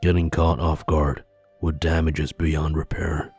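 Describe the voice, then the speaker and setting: An actor playing a solemn, conspiratorial mystic seer in a visual novel game, speaking gravely, deliberately and formally. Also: male voice, extremely low pitch, little pitch movement, a little mellow, full character voice. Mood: grave warning. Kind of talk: scripted visual novel character dialogue. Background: background music.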